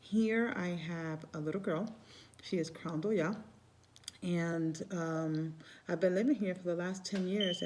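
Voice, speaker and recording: A middle-aged woman from Cuba speaks in a casual, meandering way, circling back and restarting her phrases. Her voice sits a little low and sounds mellow and relaxed. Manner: warm, rambling, restarts phrases often. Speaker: Santeria priestess. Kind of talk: casual spiritual vlog talk.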